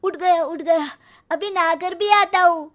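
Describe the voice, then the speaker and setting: A woman's voice: extremely high pitch, a little slow. A woman voicing a cheeky, lazy young schoolboy who talks back in a drawl, in a children's cartoon story. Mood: placating. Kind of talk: animated children's comedy story.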